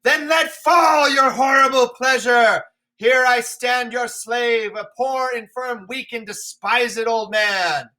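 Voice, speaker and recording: A man voicing a raging old king who shouts defiance at a storm in slow, drawn-out declamation. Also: very high-pitched, voice pushed up, exaggerated voice, low-quality recording.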